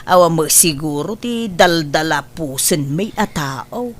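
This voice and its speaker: A woman's voice: sits low, animated, slow pace. An actress playing a coy, lovestruck older woman in a comedy drama, giggly in manner. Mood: coy, sheepish. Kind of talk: Ilocano comedy drama acting.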